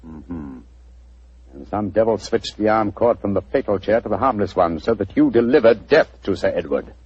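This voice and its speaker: An actor playing a brilliant, cool-headed detective who speaks in a crisp, commanding way in a 1940s radio drama. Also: male voice, lowish voice, expressive, moderate pace, a little mellow, no echo, highs cut off. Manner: crisp, commanding, clipped British diction.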